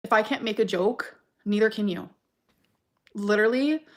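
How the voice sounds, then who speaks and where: A woman's voice: mid-range voice, moderate pace. A woman speaks casually to camera in a middle-range voice. She sounds defensive, stressing her points bluntly and swearing as she goes.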